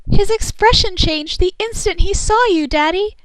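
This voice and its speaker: A woman's voice: very high-pitched, normal speed, no echo, poor audio. A woman playing an imaginative, perky teenage girl in an amateur game fandub, girlish and theatrical. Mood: concerned.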